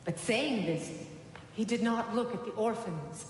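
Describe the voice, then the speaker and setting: A woman reading a dark ghost story as part of a live radio drama. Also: medium pitch, unhurried, a little hiss or hum, lightly acted. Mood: solemn, ominous. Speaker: actor in an ensemble reading. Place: large town hall stage. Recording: echoey hall, live, loud music.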